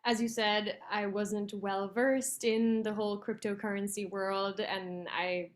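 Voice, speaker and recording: A woman's voice: mid-range voice, flat delivery, measured pace. A young woman from Argentina, a guest on an interview, chatting casually with frequent laughs and filler words. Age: young adult. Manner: chatty, laughs often, many ums and likes. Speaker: guest, translator.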